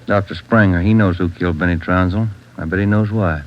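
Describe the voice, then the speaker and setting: A man playing a brisk police detective. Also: sits low, fairly even intonation, faint music behind, natural voice. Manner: brisk, plain questioning. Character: male police detective partner. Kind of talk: 1940s radio acting. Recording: muffled old radio broadcast.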